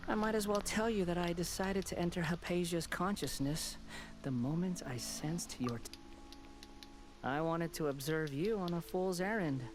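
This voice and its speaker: A man's voice: high-pitched, normal speed, background noise, lightly acted. Man voicing a haughty, mocking young self-made god. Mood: taunting. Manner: haughty, taunting, theatrical delivery. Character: arrogant young male would-be god, mocking and grandiose. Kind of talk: video game dialogue acting.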